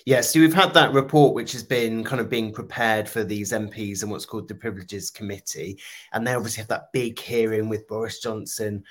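A man co-hosting a news podcast, explaining political stories in a measured way. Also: fairly low pitch. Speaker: podcast co-host, political journalist.

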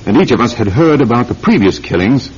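An actor playing a proud, analytical titled surgeon in a classic radio drama, speaking formally and theatrically with pauses for emphasis. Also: male voice, mid-range voice, lively intonation, a little mellow, breathy edge. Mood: grave, analytical. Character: proud, analytical titled British surgeon confined for attempted murder. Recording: muffled old radio recording.

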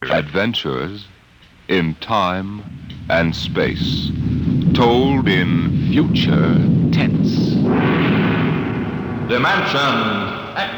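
A man narrating a classic radio show as its host in an ominous, theatrical, deliberate and suspenseful manner. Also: low-pitched, animated, very noisy. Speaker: announcer and host. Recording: old radio broadcast recording. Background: dramatic music.

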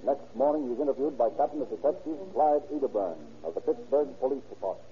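A man narrating and announcing in formal, declamatory 1930s radio style, with a rough, grainy voice. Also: mid-range voice, animated, fast talker, a little hiss or hum. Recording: muffled vintage 1930s radio recording.

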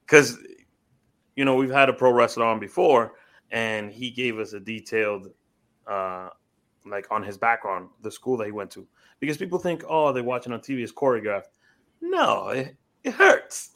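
A man's voice: medium pitch, lively intonation, moderate pace, a bit bright. Upbeat male podcast host. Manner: enthusiastic, upbeat, frequent affirmations. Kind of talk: casual interview chat.

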